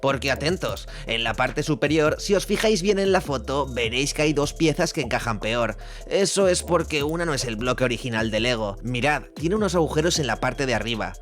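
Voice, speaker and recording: A man's voice: mid-range voice, lively intonation, brisk, fairly bright tone, lightly acted. An actor from Spain playing a chatty young male YouTuber explaining pop-culture trivia, addressing viewers directly and informally. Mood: chatty, engaging. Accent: Spanish from Spain. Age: young adult. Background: music.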